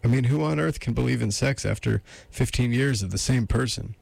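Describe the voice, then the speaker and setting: Man voicing an agitated, pleading woman, pitched up. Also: a little fast, gravelly, a little mellow, dry recording, poor audio. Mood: probing, teasing. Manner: pleading, probing, blunt. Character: agitated, frightened, talkative woman on hotel phone amid gunfire.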